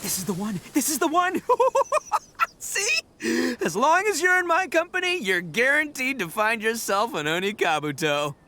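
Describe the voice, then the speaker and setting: An actor playing a brash, cheerful young man who boasts about his beetle expertise in an animated video game, with a swaggering, slangy delivery. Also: very high-pitched, unhurried, slight background noise, heavily acted. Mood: confident, reassuring.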